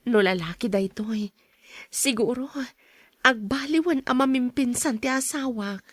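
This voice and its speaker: A woman with an Ilocano accent playing a gentle, devoted young wife and mother in a radio drama. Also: fairly high pitch, a little slow, no echo, studio quality. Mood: anxious, hopeful.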